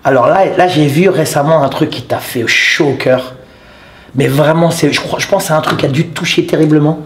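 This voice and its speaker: A man hosting a casual interview, effusive and warm in his thanks, often restarting his sentences. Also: fairly high pitch, fairly quick, roomy sound. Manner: effusive, repeats thanks and compliments, many restarts. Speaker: interviewer and channel host.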